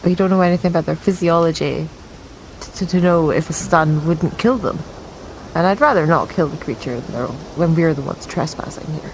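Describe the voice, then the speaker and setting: A woman's voice: sits low, fairly quick, a little hiss or hum, rough recording. A woman playing in a tabletop game, talking casually and cautiously with the group. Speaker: player.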